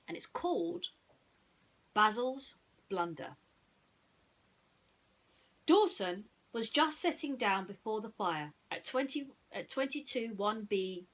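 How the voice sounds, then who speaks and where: A woman storyteller speaking in a casual, chatty way, often halting and repeating herself as she goes. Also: medium pitch, a bit bright. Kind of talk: casual chat and bedtime storytelling.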